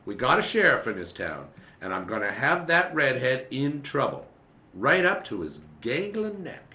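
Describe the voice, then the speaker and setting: A man playing a scheming, bullying outlaw boss in a radio western, curt and domineering with a gravelly voice. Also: medium pitch, sing-song, normal speed. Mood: scheming, bossy. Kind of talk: 1940s radio western acting. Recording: roomy, dull lo-fi recording.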